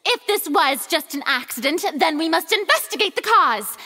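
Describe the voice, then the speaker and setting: A woman playing a theatrical young female ruler in a video game, haughty and accusatory, declaiming pompously with dramatic pauses. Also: extremely high pitch, animated, pushed and bright, dry recording, studio quality, exaggerated voice. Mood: grandly solemn.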